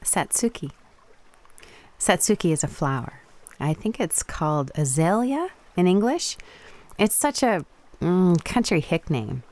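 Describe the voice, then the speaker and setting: A woman's voice: lowish voice, very animated, measured pace, a little mellow. A woman playing a confident, teasing young Japanese woman diver in a radio play. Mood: playful, friendly.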